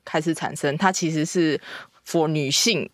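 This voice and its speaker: Woman, lowish voice, explaining, dry close studio mic. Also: moderate pace, no room sound. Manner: explanatory, lots of fillers and agreeing. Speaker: publishing editor guest. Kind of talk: casual podcast chat.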